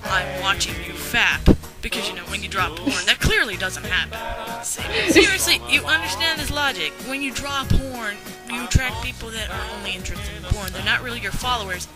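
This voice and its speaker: A woman's voice: a little high, moderate pace, over loud music. A woman giving casual unscripted commentary, sarcastic and ranting, swearing freely. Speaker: commentary host.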